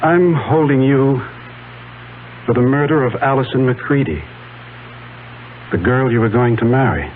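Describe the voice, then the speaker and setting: A man's voice: mid-range voice, a little slow, pauses often, close to an everyday voice. Emphatic actor as male prosecutor, tinny old radio. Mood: grave, accusing. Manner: formal, emphatic, oratorical. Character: solemn prosecutor, grieving best friend of the accused. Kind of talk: 1970s radio drama courtroom speech. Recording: tinny narrow-band 1970s radio, faint hum.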